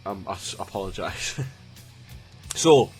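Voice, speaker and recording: A young man with a slightly blocked, snotty voice from a cold, speaking in a fairly low register. He chats loosely to his viewers, circling back with ums and restarts. A faint strand of music sits quietly behind him. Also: expressive, a little slow. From Newcastle, England. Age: 20s. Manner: rambling, chatty, with frequent ums and restarts. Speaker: YouTuber. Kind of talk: casual chat to camera.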